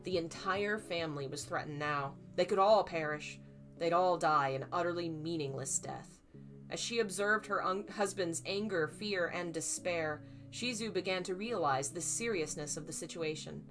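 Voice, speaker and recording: A woman in her thirties reading a novel aloud on a live stream, her voice slightly hoarse, acting out the dialogue, then chatting casually. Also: normal speed, a bit bright, faint music behind. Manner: acts out dialogue with raised pitch for frightened lines. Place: small home room, indoors.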